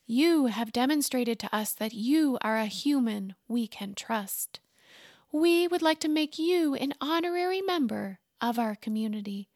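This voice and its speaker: A woman voicing a captive mermaid mother who pleads in high, frantic cries, from a storybook. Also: expressive, measured pace, darkish tone, no echo, clean studio sound. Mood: grateful.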